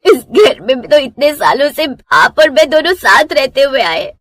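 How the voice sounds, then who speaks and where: Woman voicing a tearful, high-voiced mother-in-law. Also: sing-song, unhurried, fairly bright tone, no echo, heavily acted. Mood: shocked, tearful.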